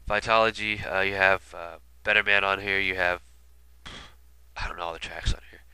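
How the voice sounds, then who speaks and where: A man's voice: low-pitched, fairly even intonation, normal speed, pushed and bright, clear, resonant tone, no room sound. A man with a stuffy nose from being sick, commenting casually and rambling with lots of fillers. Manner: rambling, many fillers and asides. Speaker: video commentator. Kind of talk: casual album retrospective commentary.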